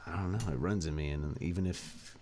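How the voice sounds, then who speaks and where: A young man, a rapper, talking in a casual interview with a deep voice and a laid-back, slangy, candid manner. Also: brisk, warm tone, a little hiss or hum. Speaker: rapper guest.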